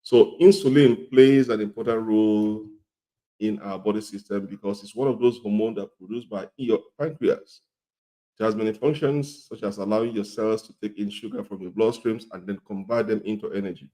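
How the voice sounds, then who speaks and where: Man, middle-aged, slightly hoarse from a cold, lowish pitch, mellow tone, informal health lesson, repetitive. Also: steady tone, normal speed, rough recording. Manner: repetitive, often checks in with "okay?". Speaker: health educator.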